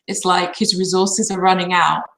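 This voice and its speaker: A woman's voice: on the low side, little pitch movement, moderate pace, gravelly, fairly bright tone, roomy sound. A woman joining a live reading as a guest, chatting casually in short, tentative remarks. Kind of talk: casual chat.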